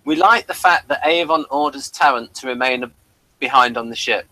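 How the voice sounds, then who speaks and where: A man's voice: medium pitch, moderate pace, pushed and bright, dry recording, poor audio. A man co-hosting a casual review chat. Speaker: podcast co-host reviewing TV episodes.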